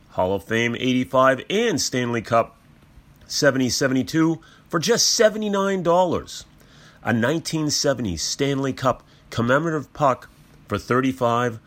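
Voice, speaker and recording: A man delivering a scripted promotional read as the sponsor of a show. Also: medium pitch.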